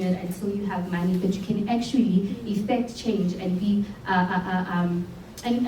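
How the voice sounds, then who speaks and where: A young woman with a South African accent speaks earnestly, pausing to restart her thoughts. Her voice is mellow and unhurried. She is heard from a distance in an echoing hall over a faint low hum. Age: young adult. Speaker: program alumna and speaker. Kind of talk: speaking on stage at a showcase. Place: event hall.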